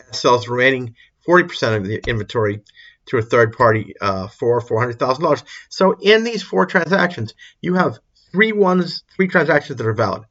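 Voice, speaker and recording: A man's voice: mid-range voice, fairly bright tone. Man lecturing informally, dull lo-fi recording. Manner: informal, repeats and rephrases points for emphasis. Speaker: accounting instructor. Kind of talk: explanatory lecture over a worked example.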